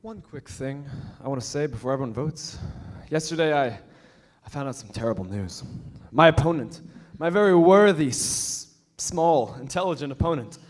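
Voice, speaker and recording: A teenage boy playing a boastful high school quarterback who is running for student president, speaking smugly. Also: expressive, moderate pace, close to an everyday voice. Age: late teens. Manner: smug, boastful. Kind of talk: staged musical reading.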